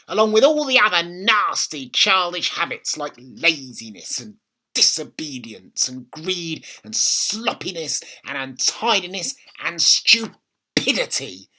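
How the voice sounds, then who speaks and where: A man voicing a nasty, bossy old grandmother from a children's storybook, scolding and snapping with drawn-out emphasis. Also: on the high side, sing-song, pushed and bright, voice pushed up, heavily acted, poor audio. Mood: scornful.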